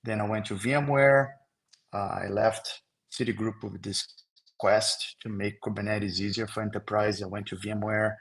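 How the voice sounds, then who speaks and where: An older man from Brazil with a Brazilian Portuguese accent, giving a relaxed online technical presentation, with halting phrases and fillers. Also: lowish voice, creaky, poor audio. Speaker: trainer.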